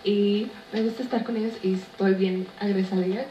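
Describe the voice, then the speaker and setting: A woman from Guatemala, a band singer, speaking shyly and softly with giggles. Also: medium pitch, steady tone, moderate pace. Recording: distant, roomy, noisy.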